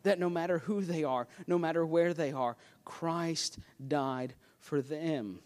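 A man's voice: a little high, slow, a little mellow. A man preaching a sermon as pastor, earnest and emphatic, with a self-deprecating touch. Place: church sanctuary. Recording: long hall reverb.

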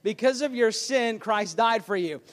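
A man's voice: sits high, a little slow. A man preaching a sermon as pastor, earnest and emphatic, with a self-deprecating touch. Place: church sanctuary. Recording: long hall reverb.

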